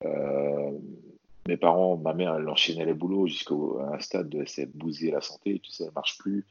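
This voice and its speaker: A man's voice: a bass voice, steady tone, moderate pace, creaky, slightly rough, muffled recording. A man from French Guiana speaking casually and earnestly about himself as an interview guest, often repeating his words. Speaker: interview guest, athlete and bodybuilder. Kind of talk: casual personal testimony in conversation.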